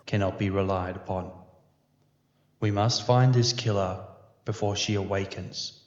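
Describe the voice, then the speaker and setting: A man's voice: lowish voice, darkish tone, close to an everyday voice. A man playing a grave, methodical police investigator giving a formal, clinical briefing in a sci-fi audio drama. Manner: measured, formal, clinical delivery. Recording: echoey room, dull muffled sound.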